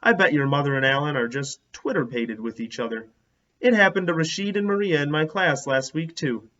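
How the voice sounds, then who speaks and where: A man's voice: fairly high pitch, a little fast, a bit bright, natural voice. A man voicing an outspoken, inquisitive young schoolgirl, eager and questioning, in a storybook reading. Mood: curious, confident. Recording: dull, lo-fi sound.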